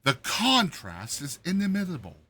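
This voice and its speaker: Man as a cunning, raspy dwarf jester. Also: high-pitched, wildly swooping pitch, moderate pace, bright, forceful tone, voice pushed up, heavily acted. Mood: persuasive, scheming. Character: crippled dwarf court jester, cunning, persuasive schemer. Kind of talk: dramatized classic short story reading.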